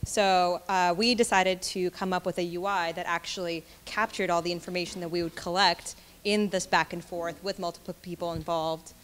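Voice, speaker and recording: Clear, low-pitched woman in a reverberant hall. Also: steady tone, moderate pace, few pauses. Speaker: product manager on a panel. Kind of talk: explanatory panel talk.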